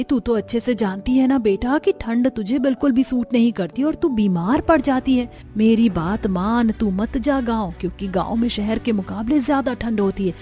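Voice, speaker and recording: A woman's voice: on the high side, warm tone, natural voice. A woman voicing a concerned, insistent mother of a grown daughter in a cartoon story.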